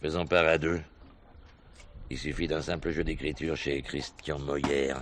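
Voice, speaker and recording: An actor playing a cool, sardonic schemer in a 1970s French radio crime play, smooth, mockingly familiar and menacing. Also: male voice, extremely low pitch, level tone, measured pace, a bit bright. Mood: insinuating. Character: cool, sardonic male schemer proposing a shady deal.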